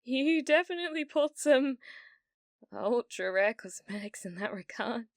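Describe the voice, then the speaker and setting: A woman's voice: a little high, expressive, no room sound, clean studio sound. A British woman voicing a delusional, needy, obsessive young gamer in love, in an ASMR roleplay. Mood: excited, obsessive. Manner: breathy giggles, slow dreamy delivery with sudden intense bursts. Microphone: close studio-style mic, dry.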